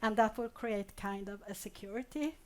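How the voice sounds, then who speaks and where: Woman panelist, reflective explaining, mid pitch. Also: moderate pace.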